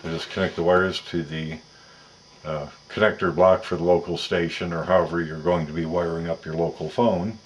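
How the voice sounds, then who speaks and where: A man with a deep, low voice walks through a practical task one step at a time. He explains methodically and in detail as he works, like a patient how-to instructor. There is faint noise behind his voice. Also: little pitch movement, normal speed, dull audio. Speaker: electronics tutorial presenter. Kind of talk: step-by-step hands-on instruction, talking while working.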